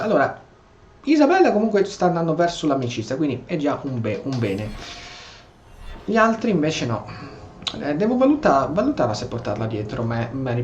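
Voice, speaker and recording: Dude gaming commentary, repeats half words, echoey room, music in background. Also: male voice, on the high side, darkish tone. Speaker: gameplay streamer. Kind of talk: casual gameplay commentary. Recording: roomy, muffled mic over game music.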